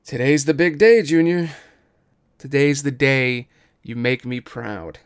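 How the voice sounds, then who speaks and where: A man's voice: medium pitch, takes it slowly, clean tone. A man voicing a proud, stern father and former royal guard in a table read, with emphatic delivery. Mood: proud, hearty. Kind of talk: table read of a musical script.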